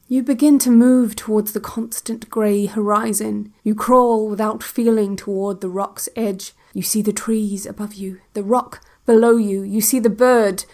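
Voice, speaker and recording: Slow, controlled relaxation-tape guide. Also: female voice, mid-range voice. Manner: measured, deliberate, hushed, detached. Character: woman narrator of an institutional relaxation cassette, controlled, quietly ominous. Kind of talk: slow guided visualization, scripted audio drama.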